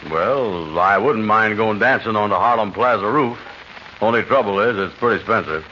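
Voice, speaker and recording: An actor playing a slow, easygoing bachelor short on cash, drawling in a deep voice, on a vintage radio comedy. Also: male voice, sharp tone. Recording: muffled old radio broadcast, faint hiss.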